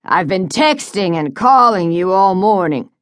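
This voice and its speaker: A woman's voice: lowish voice, very animated, slow, fairly bright tone, no echo, studio quality, exaggerated voice. Woman voicing a cantankerous, bellowing old father. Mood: irritated, demanding. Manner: bellowing, sarcastic. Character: sick, cantankerous, bitter old father, wealthy Southern man.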